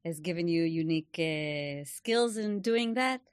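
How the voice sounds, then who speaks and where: A woman hosting a podcast interview, warm and enthusiastic, quick to laugh. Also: on the low side, animated, clear, resonant tone, no echo, studio quality. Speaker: podcast host. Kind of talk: interviewing a guest.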